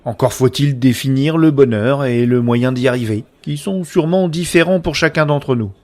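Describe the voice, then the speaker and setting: A man playing a passionate sceptic in a scripted science-fiction audio drama, speaking in a serious, deliberate, reproachful way. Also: mid-range voice, darkish tone, close to an everyday voice. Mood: cold, firm. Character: Abel, sceptical passionate man guarding privacy and real feeling. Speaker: voice actor.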